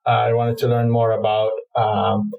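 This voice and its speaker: A man's voice: on the low side, monotone, normal speed, creaky, narrow, tinny audio. A man from Egypt, explaining his work as an interview guest in a hesitant, self-correcting way. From Cairo, Egypt. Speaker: product manager, podcast guest. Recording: roomy echo, distant from mic.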